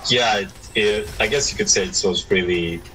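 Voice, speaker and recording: A man's voice: lowish voice, normal speed, bright, forceful tone, rough recording. A man chatting casually during co-op gameplay, a little hesitant and stammering as he talks. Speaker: gamer following in co-op. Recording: clear background noise on voice.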